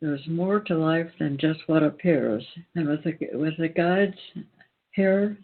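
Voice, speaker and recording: Slow, higher-voiced man on a phone-like line. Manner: deliberate, emphatic repetition with pauses. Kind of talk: earnest spiritual talk. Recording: tinny, phone-like band-limited sound.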